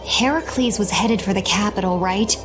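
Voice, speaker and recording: A woman's voice: medium pitch, moderate pace, lightly acted. A woman voicing a cool, composed young warrior in a video game, with music behind her.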